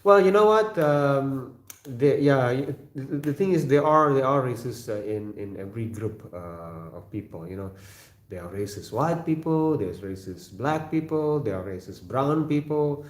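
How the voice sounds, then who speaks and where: A man with an Indonesian accent, not a native English speaker, hosting a casual livestream and reading viewer comments in a halting way, with repeated words, fillers and frequent throat clearing. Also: mid-range voice, expressive. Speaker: livestream host. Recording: echoey room.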